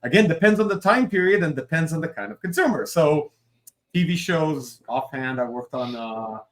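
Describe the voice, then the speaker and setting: A man's voice: on the high side, animated, normal speed. Man chatting in an interview. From Israel. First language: Hebrew. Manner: rambling, many fillers and asides, upbeat. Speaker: guest, creative director and comic writer. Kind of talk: casual interview chat.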